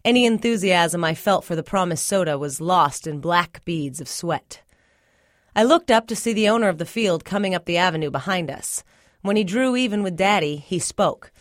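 A woman's voice: fairly low pitch, lively intonation, moderate pace, no room sound, studio quality. A woman reading a first-person literary short story aloud as scripted narration. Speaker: story reader.

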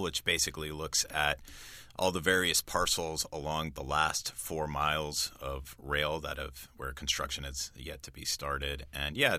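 Deep, very bright-voiced male reporter. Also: dry recording. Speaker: news reporter. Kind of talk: informal, explanatory radio conversation.

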